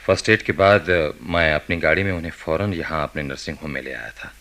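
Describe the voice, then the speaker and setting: A man acting a grave, reserved doctor in a radio play, husky-voiced, measured and courteous. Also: extremely low pitch, fairly bright tone. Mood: guarded, courteous. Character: grave, guarded male doctor recounting a case. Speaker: doctor and narrator character.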